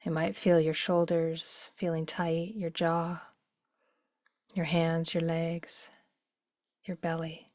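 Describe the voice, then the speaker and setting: A woman with a fairly low voice guides a meditation in a very dry recording. Her delivery is gentle and soothing, taking its time. Phrase endings soften into breath. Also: little pitch movement, takes it slowly, pauses often, no echo.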